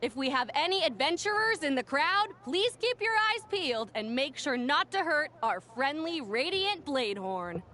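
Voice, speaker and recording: An actress playing an upbeat female tournament announcer in a video game, interviewing the finalists in a bright, showy way. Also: sits high, very animated, moderate pace, hardly any pauses, exaggerated voice. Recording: game audio with music underneath.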